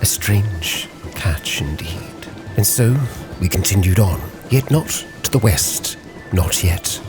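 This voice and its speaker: A man playing a grave, driven Victorian sea captain, solemnly narrating his log with theatrical, weighty pauses over music. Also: low voice, animated. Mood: grave, suspenseful. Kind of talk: dramatic scripted storytelling, ship's log in character.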